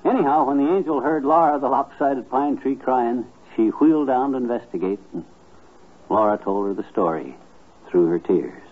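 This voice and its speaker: Male actor as folksy kindly storyteller, husky medium-pitched voice, homespun grammar, old radio comedy, narrow vintage recording. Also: normal speed, lightly acted. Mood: tender. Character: folksy, kindly married man telling a child stories. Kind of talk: 1950s radio comedy acting.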